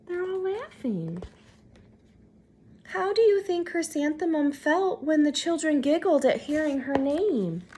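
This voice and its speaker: A woman reads a picture book aloud as a teacher would for young children. Her voice is high and swings widely in pitch. She is expressive and animated, and she checks in with questions as she goes. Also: a little hiss or hum.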